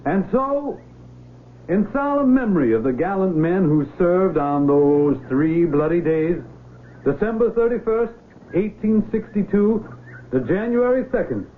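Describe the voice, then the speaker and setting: An actor playing a senator delivering a ceremonial dedication speech in a grand, orotund manner. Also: male voice, sits high, lively intonation, measured pace. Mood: solemn. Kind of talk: 1970s radio drama acting. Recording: tinny old radio broadcast.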